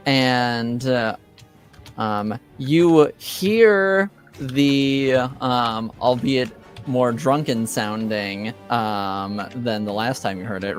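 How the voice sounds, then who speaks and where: A man with a mid-pitched voice runs a tabletop game as its narrator. He speaks very slowly and haltingly, searching for words, over faint background music. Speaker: game master.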